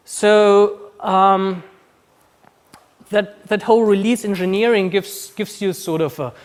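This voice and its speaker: A man from Austria whose accent blends Austrian German and New Zealand, giving a casual conference talk with many ums and restarts. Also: sits high. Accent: mixed Austrian German and New Zealand. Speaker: conference speaker. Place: conference room.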